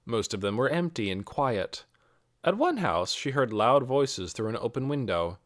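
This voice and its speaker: Male audiobook narrator for children's fiction, expressive and lively in pitch, very dry recording. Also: normal speed, dry recording. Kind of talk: scripted narration of a children's novel.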